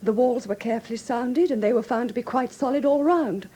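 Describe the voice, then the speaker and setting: A woman's voice: fairly high pitch, a little fast, warm tone, breathy edge. An actress playing a refined, anxious young woman in a classic radio drama, with a Received Pronunciation accent and genteel, earnest, precise diction. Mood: subdued, grave. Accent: English Received Pronunciation. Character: refined, anxious young woman recounting her sister's death.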